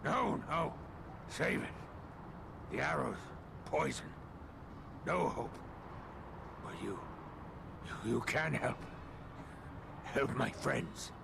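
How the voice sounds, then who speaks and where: A man acting a dying dwarf warrior in a video game, weak and gasping, speaking in halting, broken phrases. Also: mid-range voice, expressive, gravelly, full character voice. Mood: weak, pleading. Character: dying, wounded dwarf warrior, defiant and pleading. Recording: loud noise behind the voice.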